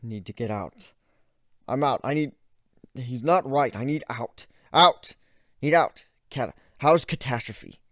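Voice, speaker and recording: A man's voice: medium pitch, slow, a bit bright, no room sound, studio quality, voice pushed up. A man voicing a frightened, stuttering male mage in a dramatic fantasy story, trembling, pitiful and easily angered. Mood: whimpering panic. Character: panicked, pleading male mage turning angry and threatening.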